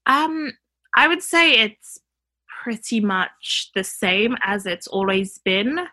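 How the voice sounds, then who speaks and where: Guest voice: woman, bright clear tone, relaxed and chatty, quick to laugh. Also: mid-range voice. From Nigeria (family), raised in the UK. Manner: warm, laughs often, easygoing. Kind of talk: casual chat.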